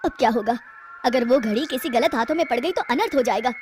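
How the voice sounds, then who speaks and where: A woman voicing a worried male scientist with a lowered, earnest tone in a cartoon story. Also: fast talker, over music, close to an everyday voice.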